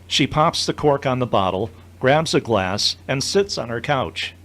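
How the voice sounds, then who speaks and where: A man's voice: medium pitch, animated, unhurried, a bit bright. A man narrating the scripted action of an audio drama.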